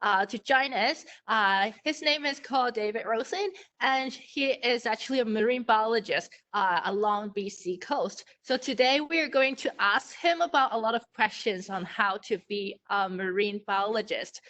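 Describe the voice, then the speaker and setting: A woman's voice: fairly high pitch, moderate pace, few pauses, a bit bright. A woman hosting an online talk for an aquarium, welcoming and a little hesitant, with fillers, over a video call. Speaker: aquarium host.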